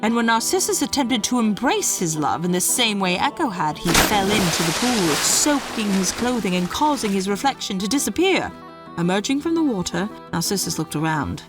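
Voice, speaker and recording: A woman giving a scripted storytelling narration in a measured, dramatic way. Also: mid-range voice, very animated, over music.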